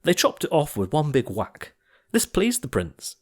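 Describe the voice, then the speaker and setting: A man narrating an audiobook of rhyming verse in a theatrical, expressive storytelling style. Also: a little high, unhurried.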